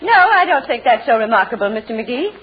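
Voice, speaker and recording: A woman's voice: on the high side, very animated, fairly quick. An actress playing a genteel, haughty society lady in a 1940s radio comedy, prim and formal. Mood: superior. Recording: muffled 1940s radio, faint hiss and audience.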